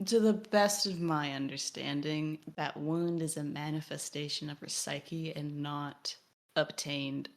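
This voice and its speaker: A woman hosting a podcast, speaking in measured, analytical discussion with precise, formally worded sentences. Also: low voice, gravelly. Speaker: podcast host.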